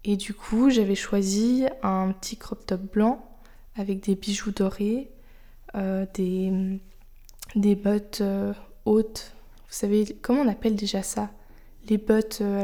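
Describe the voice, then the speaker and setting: Young woman with french as her first language, podcast host musing out loud, soft and confiding, warm mellow voice, middle pitch. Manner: confiding, soft-spoken, thinking aloud. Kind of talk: personal reflective monologue.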